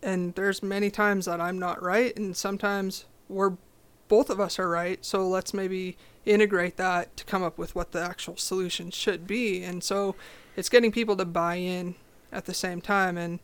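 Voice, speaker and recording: Young woman, low voice, confident and direct, casual interview chat, smooth clear tone. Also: moderate pace. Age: young adult. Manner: confident, direct, animated storytelling. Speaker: guest.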